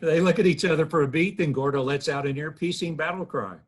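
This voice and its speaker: A man's voice: a little high, quick pace, breathy edge. A man reading the stage directions at a table read, plain and matter-of-fact.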